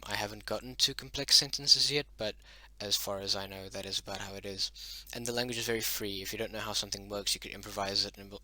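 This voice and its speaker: A man's voice: fairly low pitch, sharp tone, no room sound, low-quality recording. A man whose first language is Dutch-derived, explaining his invented language in a casual spoken lesson, hesitant with many ums and self-corrections.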